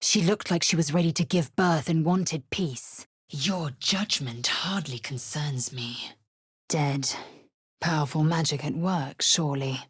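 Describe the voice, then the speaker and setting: A woman playing a fierce, haughty githyanki warrior in a video game, with a husky, breathy edge and clipped, imperious delivery. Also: deep voice, measured pace, no room sound, studio quality. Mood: cold disdain. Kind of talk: video game character acting.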